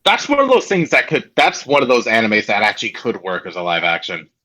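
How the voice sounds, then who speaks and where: Lively guy podcasting w friends, pitch jumps around a lot, sharp bright voice, repeats himself. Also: fairly quick. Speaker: podcast co-host. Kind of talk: casual podcast chat.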